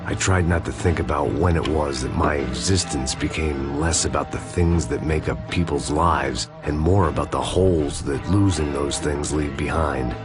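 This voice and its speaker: An actor playing a middle-aged, washed-up American ex-cop, delivering world-weary, deadpan noir narration and dialogue for a crime game. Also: male voice, a bass voice, normal speed, hardly any pauses. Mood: weary, brooding. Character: jaded, sardonic washed-up ex-cop turned bodyguard. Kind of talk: cinematic noir voice-over and dialogue. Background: loud music.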